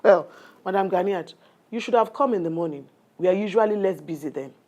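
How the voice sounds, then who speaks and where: A woman's voice: medium pitch, brisk, mellow, natural voice. A woman with a Nigerian accent acting as a condescending, bossy family planning nurse in a radio drama, talking down with exclamations and little tags. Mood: patronizing. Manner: patronizing, talks down with exclamations and tags. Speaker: nurse in an educational radio play.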